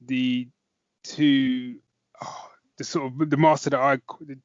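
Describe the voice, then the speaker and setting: A man's voice: medium pitch, flat delivery, takes it slowly, no room sound. A man co-hosting a review podcast in a casual chatting style. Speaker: podcast co-host. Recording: dull, dry podcast audio.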